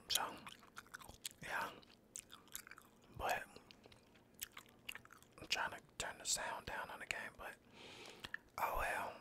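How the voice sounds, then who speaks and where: A tired man rambling in a soft, mostly unvoiced whisper over a game, close to the mic, trash-talking enemies as he plays. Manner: rambling, laid-back, trash-talks the game. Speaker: gaming channel host. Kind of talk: whispered gameplay commentary.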